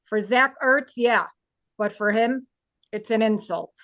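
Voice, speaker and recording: Slow, dry-sounding, blunt woman teacher acted by a woman. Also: on the high side, no room sound, highs cut off. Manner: blunt, deadpan, suspicious. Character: blunt, tough, streetwise woman second grade teacher. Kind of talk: sitcom table read.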